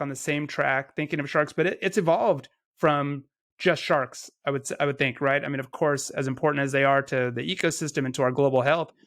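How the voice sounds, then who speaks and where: A man's voice: fairly high pitch, fairly bright tone. A man hosting a conversational interview, affirming his guests and restating ideas in piled-up phrases. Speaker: podcast host, interviewer.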